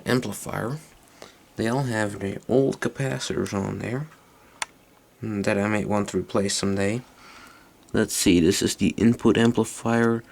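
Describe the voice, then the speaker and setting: A man's voice: fairly low pitch, vocal fry, a little mellow, slight background noise. A man giving a casual, unscripted hands-on demonstration as an electronics hobbyist, hesitant and thinking aloud as he goes. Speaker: electronics hobbyist presenting a tape recorder.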